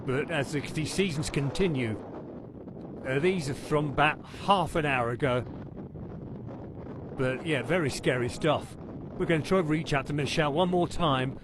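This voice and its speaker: Guy playing a news anchor on a storm disaster, kinda high voice, stumbles a lot, windy noise behind. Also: lightly acted. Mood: grave, halting. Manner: halting, stumbling over words. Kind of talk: amateur mock breaking news report. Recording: noisy, windy background rumble.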